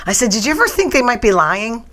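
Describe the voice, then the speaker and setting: A woman's voice: a little high, very animated, quick pace, a crowd in the background. A woman talk-show host, ranting casually and bluntly with sarcasm, her voice strained from nearly losing it. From New England. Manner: chatty, blunt, sarcastic rants. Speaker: podcast host.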